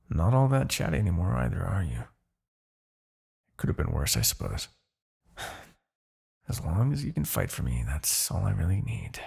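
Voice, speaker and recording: A man voicing an arrogant, brooding demon king in a fantasy ASMR roleplay, condescending and theatrical, with a husky, gravelly low voice and pauses. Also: a little mellow, full character voice. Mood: resigned. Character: arrogant demon king, gloating yet begrudgingly attached captor.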